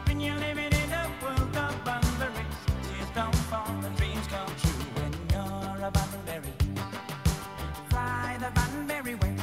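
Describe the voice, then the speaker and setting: A woman's voice: fairly high pitch, a little slow. A woman singing a theme song. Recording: voice under loud rock music.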